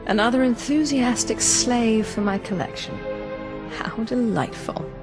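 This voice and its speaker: A woman's voice: a little high, a little slow, music in the background, lightly acted. A woman playing a cool, commanding master in a video game, dryly sardonic and coldly amused by cruelty. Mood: darkly amused. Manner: dry, imperious, sardonic. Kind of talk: video game character dialogue.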